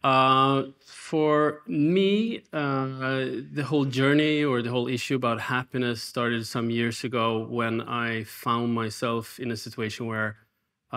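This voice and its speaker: Middle-aged man, panelist on stage, halting with fillers, monotone, bright tone. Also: medium pitch. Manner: hesitant, many fillers. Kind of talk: casual panel talk. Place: large stage studio.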